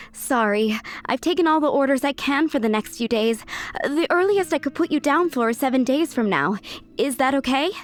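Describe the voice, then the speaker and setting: A woman's voice: high-pitched, animated, moderate pace. A woman voicing a proud, blunt, competitive young woman chef in a video game, assertive and emphatic. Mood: polite, curt. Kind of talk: animated game voice acting.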